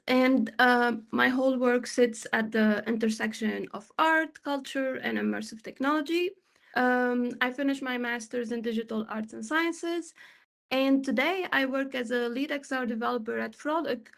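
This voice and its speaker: A woman's voice: a little high, vocal fry, a bit bright. A young woman in her twenties, from Tunisia, presenting her work on an online panel in an upbeat, chatty way with many fillers. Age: 20s. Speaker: panel guest, XR developer.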